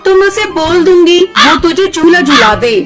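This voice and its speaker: A woman's voice: very high-pitched, animated, normal speed. A woman voicing a motherly crow mother-in-law in a Hindi children's cartoon, coaxing and practical. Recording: music bed behind voice.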